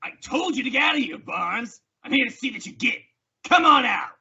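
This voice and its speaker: An actor playing a menacing, mocking western outlaw bully with a raspy, harsh voice and jeering, drawling threats in an old-time radio drama. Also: male voice, very high-pitched, very animated, fairly quick, pushed and bright, muffled recording, full character voice.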